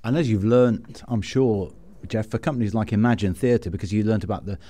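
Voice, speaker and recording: A man's voice: fairly low pitch, a little fast, warm tone. A man hosting a podcast, interviewing in a reflective, conversational way and often ending with a tag question. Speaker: podcast host.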